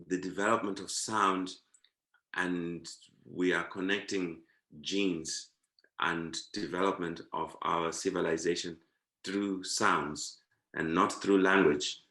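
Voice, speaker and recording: A man's voice: low voice, fairly even intonation, takes it slowly, long pauses, fairly bright tone, echoey room. A man from Zimbabwe, a guest talking in a reflective conversation, thoughtful and repeating words to savor them. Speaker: guest, performing artist.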